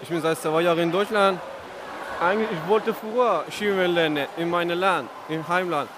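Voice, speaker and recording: A young man with an Afghan accent introduces himself as a learner. His voice is high, against a noisy pool background. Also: normal speed. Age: early twenties. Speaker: beginner swimming student. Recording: noisy swimming pool surroundings.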